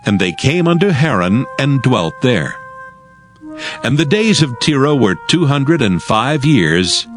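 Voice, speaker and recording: A man narrating scripture from a script in a measured, formal manner. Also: medium pitch, big pitch swings. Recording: music behind the voice.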